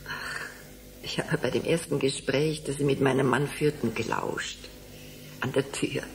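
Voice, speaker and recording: An actress playing a dreamy, grieving middle-aged wife in a German radio drama, hesitant, wistful and often hushed. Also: female voice, a bass voice, fairly even intonation, measured pace. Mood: confessing. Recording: clearly noisy background.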